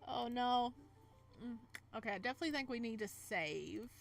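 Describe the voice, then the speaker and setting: Animated woman streaming a visual novel. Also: a little high, normal speed, creaky, soft background music. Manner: animated, giggly reactions. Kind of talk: reading game text aloud with casual commentary.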